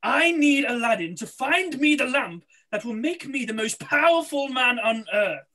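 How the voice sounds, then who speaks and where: Male panto sorcerer, scheming, boastful, cackling, very animated, piercing tone. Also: high-pitched, normal speed, heavily acted. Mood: gloating, menacing. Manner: theatrical, cackling, gloating. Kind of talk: amateur pantomime read-through. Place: small room, on a video call. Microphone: computer mic on video call.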